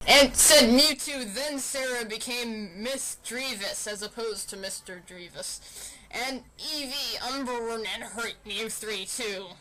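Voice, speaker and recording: A woman's voice: a little high, slow, sharp tone, low-quality recording. A woman doing an amateur, playful mock-dramatic story reading with casual asides. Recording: faint fan noise behind.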